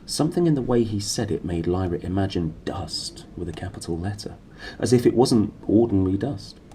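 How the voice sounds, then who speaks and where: A man's voice: on the low side, animated, fairly quick, mellow. A man in his thirties reading a novel aloud in a small room. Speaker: reader. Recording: clearly noisy room recording.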